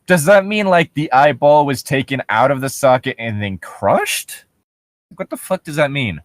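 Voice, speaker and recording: Sardonic, sweary guy with animated delivery. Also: medium pitch, normal speed, no echo, clean studio sound. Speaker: co-host of a comedy reading show. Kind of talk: casual riffing while reading horror stories aloud.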